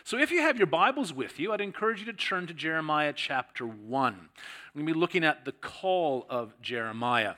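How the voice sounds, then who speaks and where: A man's voice: fairly high pitch, bright, forceful tone. A middle-aged man with a Canadian accent, preaching a sermon as a guest pastor in a conversational, self-deprecating way.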